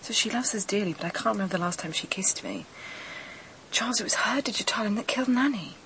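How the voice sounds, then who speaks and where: A woman's voice: mid-range voice, animated, quick pace, fairly bright tone. Earnest young woman, slightly noisy recording. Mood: uneasy, searching. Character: intense, determined young woman in love, from a grieving family. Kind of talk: radio drama acting.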